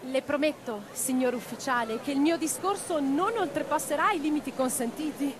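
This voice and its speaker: A woman's voice: high-pitched, normal speed, noisy background, close to an everyday voice. An actress playing an impassioned middle-aged socialist revolutionary woman in a theatrical radio drama. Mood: defiant oratory. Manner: declamatory in speeches, intimate in private moments.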